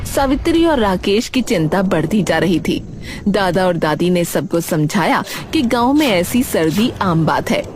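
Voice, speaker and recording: A woman's voice: fairly low pitch, very animated, unhurried, noisy background. A woman narrating a scripted cartoon story.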